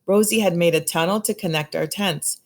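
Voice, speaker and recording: A woman reading a children's picture book aloud in an expressive storytelling style. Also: fairly low pitch, moderate pace. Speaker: storybook reader.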